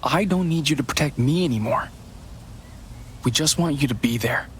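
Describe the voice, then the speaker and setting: A man's voice: fairly high pitch, moderate pace, a little hiss or hum, lightly acted. An actor playing an anxious, pleading young man haunted by family trauma in a dramatic video game. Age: young adult.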